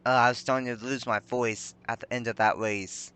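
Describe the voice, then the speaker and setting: Man calling a sim race, bright tone. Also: medium pitch, steady tone, moderate pace, smooth. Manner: rambling, self-correcting live call. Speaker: sim racing commentator. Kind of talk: play-by-play race commentary.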